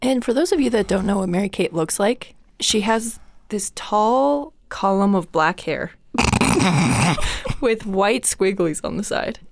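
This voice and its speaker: A woman in her twenties co-hosting a podcast in casual chat, self-mocking and quick to laugh. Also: mid-range voice, animated. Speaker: podcast co-host.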